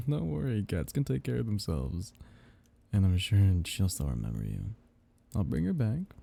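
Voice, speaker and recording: Man acting as a husky, dark-voiced vampire count. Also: lowish voice, wildly swooping pitch, normal speed, heavily acted. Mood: tender, soothing. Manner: soft, teasing, murmured endearments. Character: tender possessive vampire count soothing his captive. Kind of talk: intimate ASMR roleplay acting.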